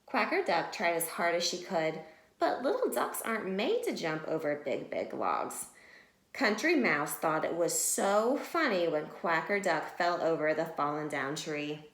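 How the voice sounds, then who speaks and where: A woman's voice: on the low side, big pitch swings, unhurried, fairly bright tone. A woman teacher reading a picture book to young children and explaining it simply and expressively. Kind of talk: children's read-aloud and mini lesson. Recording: echoey room.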